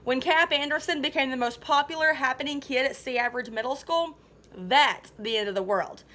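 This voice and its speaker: A woman's voice: high-pitched, fairly bright tone. A woman reading aloud as a sour, resentful middle-school boy, a dethroned bully, narrating a children's novel with sarcastic grumbling. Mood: scornful. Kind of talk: first-person children's novel read aloud.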